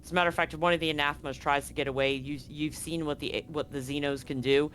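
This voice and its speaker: A man's voice: fairly high pitch, steady tone, quick pace, a bit bright, slight background noise. A man running a tabletop horror game as game master, narrating loosely and correcting himself as he goes. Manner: loose, conversational, self-correcting.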